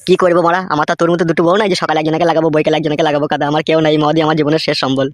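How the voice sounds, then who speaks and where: A man's voice: fairly high pitch, flat delivery, rapid-fire, background noise, natural voice. A man voicing a mocking, foul-mouthed village drinker in a comedy cartoon, jeering and teasing as he swears.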